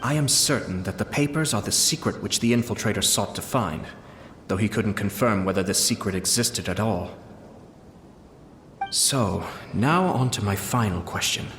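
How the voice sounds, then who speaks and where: A man playing a composed young nobleman in a video game, speaking in a measured, formal and courteous way with soft amusement. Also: on the low side, a little hiss or hum. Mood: measured, serious. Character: composed young nobleman, clan head, polite and quietly cunning. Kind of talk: video game character dialogue. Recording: roomy echo under clear background music.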